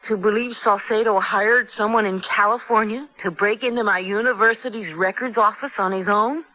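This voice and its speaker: A woman's voice: medium pitch, steady tone, normal speed, pushed and bright, natural voice. Dull radio recording, defiant young American woman acted. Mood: accusing. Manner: skeptical, pointed, accusing. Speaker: radio drama actor. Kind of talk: 1970s radio drama acting. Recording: dull, band-limited 1970s radio recording.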